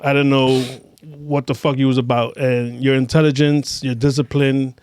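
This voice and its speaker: A man's voice: mid-range voice, little pitch movement, moderate pace, a little mellow, clean tone, dry recording. A man hosting a podcast interview in casual, brash, profane slang. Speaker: podcast host.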